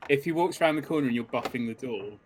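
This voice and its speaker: A man's voice: medium pitch, very fast. A man with a British accent co-hosting a game playthrough with slangy, joking banter. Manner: slangy, jokey. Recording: clear background noise, keyboard clicks.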